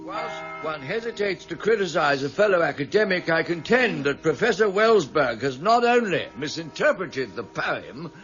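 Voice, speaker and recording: A pompous male don lecturing in a radio play, fairly high-pitched and very animated. He savours his own wit theatrically. Music is clearly heard behind the slightly dull recording. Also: normal speed. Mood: smug, amused. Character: self-satisfied, witty male academic addressing students. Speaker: university lecturer. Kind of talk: formal lecture in a radio drama.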